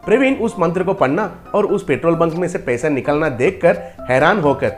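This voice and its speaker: A man's voice: on the high side, animated, fairly quick. Male storyteller over music. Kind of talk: scripted story narration.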